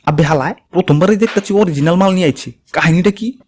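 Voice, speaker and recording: A man's voice: high-pitched, darkish tone. A man voicing a greedy, scheming husband in a comic cartoon, brash and complaining, with an Old Dhaka accent. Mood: indignant. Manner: brash, rapid-fire complaining. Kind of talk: comic cartoon fable voice acting.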